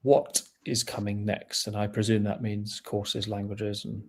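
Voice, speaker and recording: A man with a low, gravelly voice leading a webinar Q&A. He reads out questions casually, slips in jokes and hesitates now and then. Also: unhurried. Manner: relaxed, jokey, hesitant with fillers. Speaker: webinar host. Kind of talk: webinar Q&A moderating.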